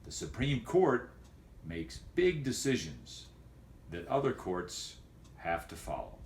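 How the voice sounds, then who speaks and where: A man's voice: medium pitch, sing-song, slow, vocal fry, a little mellow, slight background noise. Man teacher talking in an echoey room. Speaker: teacher and host of remote-learning videos. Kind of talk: casual educational vlog for students, with read-aloud.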